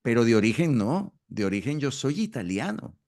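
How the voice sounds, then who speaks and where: A man's voice: mid-range voice, big pitch swings, moderate pace, a little mellow. A middle-aged man from Venezuela explaining in a talk, who hesitates and repeats words while he thinks. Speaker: astrology school host. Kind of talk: conversational explanation.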